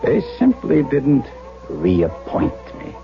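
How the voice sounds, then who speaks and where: An actor playing a resigned, patient middle-aged doctor, wry and weary, in a 1970s radio drama. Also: male voice, mid-range voice, huge pitch swings, takes it slowly, creaky, heavily acted. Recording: muffled old radio recording, music behind.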